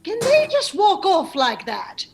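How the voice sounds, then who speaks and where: A woman's voice: very high-pitched, huge pitch swings, measured pace, vocal fry, a little hiss or hum, full character voice. A woman playing a haughty, easily affronted English lady in a comic radio play, melodramatic and indignant. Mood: indignant alarm. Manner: melodramatic, indignant, posh exclamations.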